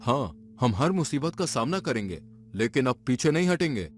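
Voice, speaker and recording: A man's voice: mid-range voice, very animated, normal speed, soft background music. A man playing an eager, anxious male space scientist in a dramatized adventure story. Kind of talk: dramatized Hindi audio story dialogue.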